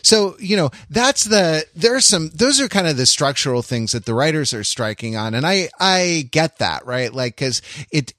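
American man, medium pitch, casual discussion, rambling and halting, opinionated, dry sound. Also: a bit bright, dry recording. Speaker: podcast co-host.

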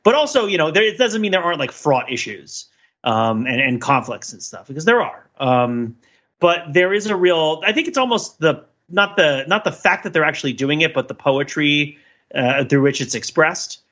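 A man with a bright, forceful voice talks casually as a podcast co-host. He circles around his points, backing up and rephrasing as he goes. The recording is a little dull and lo-fi. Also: on the high side, animated. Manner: halting, digressive, self-correcting. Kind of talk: casual pop culture discussion.

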